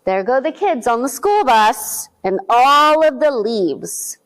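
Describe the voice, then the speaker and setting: A woman with a high, very lively voice reads a picture book slowly to little children. She stops often to ask them questions, drawing them into the story. Her pitch swings widely from line to line. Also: a little hiss or hum, rough recording. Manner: slow, animated, speaking to young children with questions. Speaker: children's librarian reading aloud.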